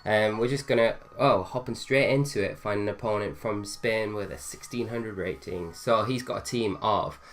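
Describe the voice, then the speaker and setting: A man's voice: fairly low pitch, moderate pace, hardly any pauses, slight background noise. A young man with a slightly nasal voice from a cold, casually commentating on his own gameplay and thinking aloud with fillers. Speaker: gaming video host.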